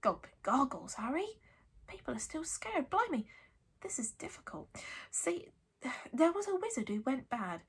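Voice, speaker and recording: A woman voicing a gruff, big-hearted giant of a man in a storybook, with folksy, blustering, sometimes halting speech. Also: high voice, very animated, voice pushed up, heavily acted. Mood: anxious, hesitant. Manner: folksy dropped-word speech, halting when uneasy.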